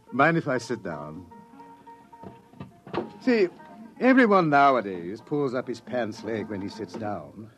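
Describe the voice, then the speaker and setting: A man's voice: mid-range voice, sing-song, takes it slowly, pauses often, fairly bright tone, soft background music. A man acting in a 1950s radio drama as a sly pursuer who toys with his prey in a mock-polite, insinuating way.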